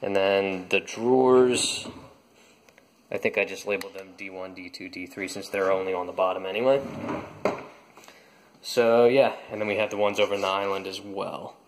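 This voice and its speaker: A young man casually explaining his home renovation work while filming it himself, pausing often with ums and uhs. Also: low-pitched, steady tone, slight background noise, low-quality recording. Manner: hesitant, many ums and uhs. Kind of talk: casual how-to narration. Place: kitchen in a house, indoors. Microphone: handheld camera.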